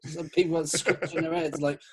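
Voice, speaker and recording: A man's voice: a little high, little pitch movement, brisk, a little mellow, slightly rough. A young man hosting a casual podcast chat, rambling with lots of fillers and laughs. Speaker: podcast host.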